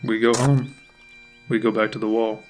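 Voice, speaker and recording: A man's voice: on the low side, level tone, moderate pace, darkish tone, soft background music, lightly acted. A man playing a dry, matter-of-fact investigator in an audio drama.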